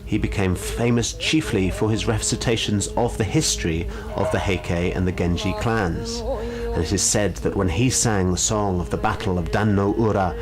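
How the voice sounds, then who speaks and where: Low-voiced man telling ghost stories. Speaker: radio show storyteller. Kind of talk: dramatic storytelling, reading a ghost story aloud.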